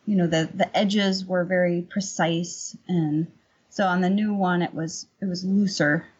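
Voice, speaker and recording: A woman's voice: lowish voice, fairly even intonation, a little slow, a little mellow, husky. A middle-aged woman talking casually and informally as an interview guest, telling stories and explaining her work as a painter. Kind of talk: casual conversational storytelling and explanation. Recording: dull lo-fi remote audio.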